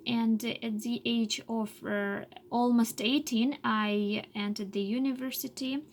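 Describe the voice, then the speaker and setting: Woman, late 20s, slow halting english full of uhs, bit of a high voice. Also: a little hiss or hum. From Russia. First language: Chuvash. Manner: halting, many filler pauses and restarts. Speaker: English learner telling her life story. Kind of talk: unscripted personal monologue.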